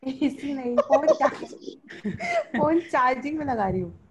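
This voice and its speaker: A woman's voice: high-pitched, expressive, measured pace. A young woman in the audience of a video call, chatting playfully and giggling from her room at home. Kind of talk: casual chat.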